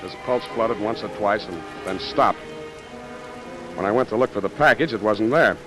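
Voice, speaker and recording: An actor playing a wry, unflappable American private detective in 1940s radio noir, deadpan and sardonic. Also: male voice, fairly low pitch, normal speed, close to an everyday voice, low-quality recording. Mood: wry narrating. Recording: vintage radio recording, music and rain.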